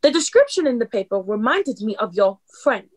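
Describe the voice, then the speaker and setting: A woman plays a poised, clever female club performer in a radio play. Her voice sits fairly high. She tells her story in a deliberate, dramatic way. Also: very animated. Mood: triumphant explaining.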